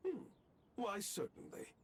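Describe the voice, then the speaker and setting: An actor playing a self-assured, obliging magical being in a video game, with theatrical, formal delivery. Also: male voice, a little slow, a little hiss or hum, lightly acted. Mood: smug, amused.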